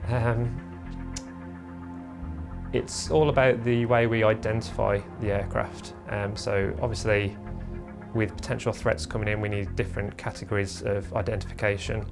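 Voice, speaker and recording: A man's voice: fairly low pitch, gravelly. A man, a military instructor, explaining his work informally in an interview.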